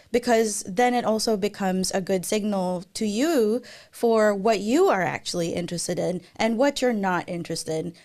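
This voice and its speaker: A woman's voice: mid-range voice, a little mellow. Chatty woman answering questions on a call. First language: Tagalog. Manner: casual and chatty, many fillers and restarts. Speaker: guest speaker. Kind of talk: live Q&A answers on a video call. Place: indoor room. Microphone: webcam video call.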